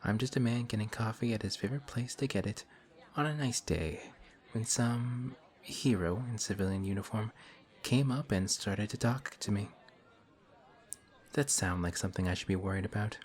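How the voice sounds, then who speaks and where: An actor playing a courteous, formal villain who is sly and quietly taunting, in a one-sided audio roleplay. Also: male voice, fairly low pitch, normal speed, natural voice. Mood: sly menacing. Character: suave, polite male villain in civilian clothes. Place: coffee shop scene with faint café chatter.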